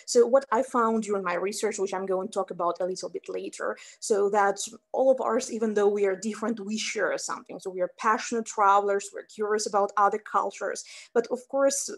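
A woman's voice: mid-range voice, steady tone, a little fast, hardly any pauses. Woman, middle-aged, Russian native speaker, webcam talk, explanatory, fillers and restarts, indoors at home. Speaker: researcher presenting a poster talk. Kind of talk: slide presentation over video call. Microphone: webcam on a video call.

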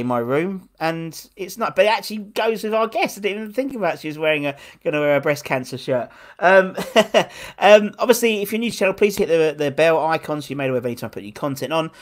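A man hosting a casual video-call chat from home, rambling with lots of restarts. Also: a little high. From England. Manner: rambling, chatty, many restarts. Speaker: host. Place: home room lit pink. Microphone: wired earphones on video call.

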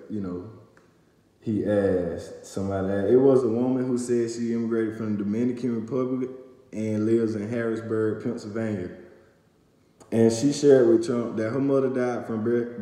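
A man's voice: lowish voice, dark tone. A young man recapping news to camera, reading aloud with stumbles and fillers, in a small room at home on a phone camera. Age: young adult. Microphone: phone camera at arm's length. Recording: echoey room with long reverb.

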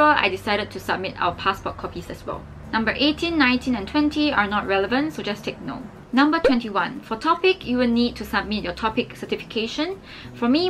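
Young woman, steady instructive vlog delivery, slightly high, plain indoor recording. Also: slight background noise, poor audio. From Singapore. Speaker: scholarship advice vlogger. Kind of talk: scripted explainer to camera. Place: indoors against a plain wall.

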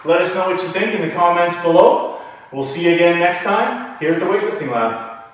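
A man's voice: high-pitched, fairly quick, slight background noise. A man in his thirties giving informal, step-by-step exercise instruction as a fitness coach, demonstrating as he talks. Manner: informal, step-by-step explaining while lifting a kettlebell. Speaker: fitness coach and video host. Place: indoor home gym with hard walls. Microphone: camera several meters away. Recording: very echoey room, distant mic.

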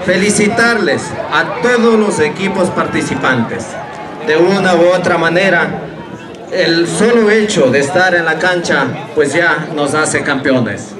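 A man congratulates listeners in a public speech. His fairly high voice echoes on a handheld mic, with a clear crowd behind. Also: unhurried. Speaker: town councillor. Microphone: handheld microphone.

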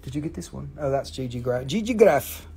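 A man hosting a live rock sale, joking, swearing and rambling in fast auction patter. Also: mid-range voice, expressive, vocal fry, mellow, slightly rough. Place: mineral shop indoors.

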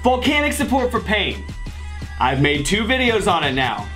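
Young male host, sarcastic, exasperated, high and lively voice, desk-cam at home. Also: moderate pace, soft background music. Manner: exasperated, sarcastic, animated rants. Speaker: YouTuber host. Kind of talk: comedy sketch. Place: home room with posters, indoors.

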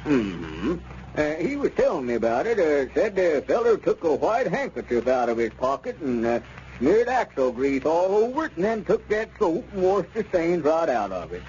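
Male wheedling squire, highish voice, very animated, roundabout salesman, old radio comedy. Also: moderate pace. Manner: hesitant, roundabout, unctuous. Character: wheedling small-town squire, sly real-estate dealer. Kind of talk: 1930s radio comedy acting. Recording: dull, noisy old radio recording.

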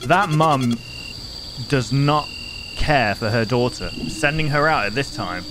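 A man's voice: medium pitch, lively intonation, takes it slowly, noisy background. A man in his twenties hosting a reaction video, chatting casually and rambling in a conversational way, close on a desk microphone. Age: 20s. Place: small home room, indoors. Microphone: close desk microphone with headphones.

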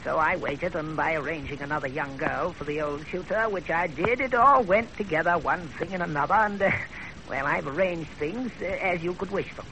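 High, creaky, rambling old matchmaker. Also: male voice, normal speed, pushed and bright. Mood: smug, advising. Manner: rambling, hemming, repeats phrases. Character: wily old professional matchmaker, talkative and self-satisfied. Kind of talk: 1930s radio drama acting. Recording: noisy, crackly 1930s radio broadcast.

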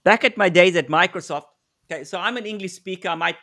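Middle-aged man from south africa on a video call, fairly high voice, chatty, repeats himself while thinking. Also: quick pace, sharp tone. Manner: conversational, repeats words while thinking, acts out sample dialogue. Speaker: negotiation consultant presenting a webinar. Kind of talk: live webinar presentation.

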